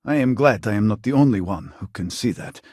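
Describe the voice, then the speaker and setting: Casting: devout, weary scientist for sci-fi game; lowish husky voice, formal and earnest delivery. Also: male voice, lively intonation, a little fast, a little mellow, no echo, clean studio sound. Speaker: video game voice actor. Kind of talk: scripted video game character dialogue.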